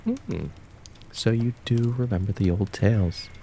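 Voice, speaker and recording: A man voicing a cruel, taunting kitsune fox spirit of the woods in an ASMR roleplay, with drawn-out, mocking, menacing words. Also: lowish voice, vocal fry, mellow, slight background noise. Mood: mocking, scornful.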